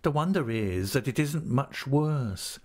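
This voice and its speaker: A man's voice: medium pitch, animated, a little slow, a little mellow, pitched above the performer's own voice. A man voicing a falsely humble, scheming clerk in an audiobook, meek, oily and obsequious. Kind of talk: audiobook character acting.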